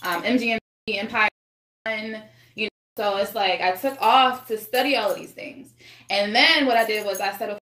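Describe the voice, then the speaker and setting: A woman's voice: medium pitch, normal speed, sharp tone. A woman singer talking casually as a podcast guest, rambling and swearing, with many restarts. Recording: echoey room.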